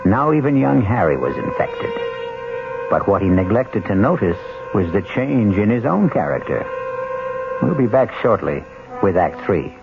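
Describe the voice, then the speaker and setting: A man narrating a radio drama as an ominous, measured storyteller host, in a scripted dramatic style. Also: fairly low pitch, very animated, gravelly. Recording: narrow-band old radio recording with music.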